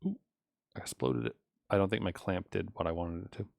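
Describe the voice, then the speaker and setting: A man's voice: deep voice, expressive, a little fast, gravelly, a little mellow. A man streaming live as he codes, talking casually and unscripted, rambling as he thinks aloud. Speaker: live streamer coding a game.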